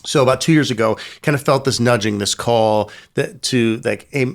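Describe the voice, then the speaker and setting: A man's voice: mid-range voice, normal speed, creaky. Man, podcast host, casual interviewer, affirming, rambling questions.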